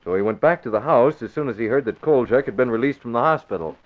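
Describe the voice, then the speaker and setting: An actor playing a calm, authoritative American newspaper boss, measured and explanatory, in 1940s radio style. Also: male voice, medium pitch, lightly acted. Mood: concerned, explaining. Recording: thin, phone-like 1940s radio recording.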